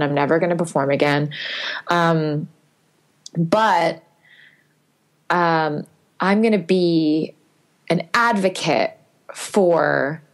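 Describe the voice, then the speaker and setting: A woman guest on a podcast interview talks candidly and thoughtfully, with many fillers, and grows animated at times. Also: on the low side. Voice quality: sweet singing voice.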